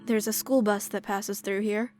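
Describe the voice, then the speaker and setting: Widowed caregiver, female, played by an actress, game drama. Gentle, subdued, resigned, middle register, light music underneath. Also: medium pitch, steady tone, moderate pace, close to an everyday voice. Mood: wistful. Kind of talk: game dub voice acting.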